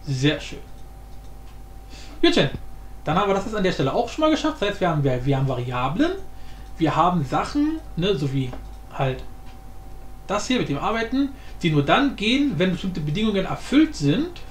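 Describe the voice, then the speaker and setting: High-voiced man in an echoey room. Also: sing-song, normal speed, pauses often. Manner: informal, thinking aloud while demonstrating. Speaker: tutorial host. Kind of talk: casual screen-recorded tutorial commentary. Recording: roomy echo with background noise.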